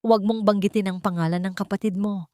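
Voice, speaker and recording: A woman's voice: medium pitch, fairly even intonation, quick pace, dark tone, dry recording, clean studio sound, close to an everyday voice. A woman voicing a cold, betrayed billionaire man in a story, controlled and accusing. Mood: cold anger.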